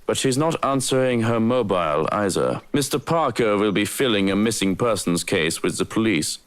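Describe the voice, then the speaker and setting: Actor as composed male aide, dry, cheeky, animated intonation, visual novel acting. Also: fairly low pitch, normal speed, clear, resonant tone, close to an everyday voice.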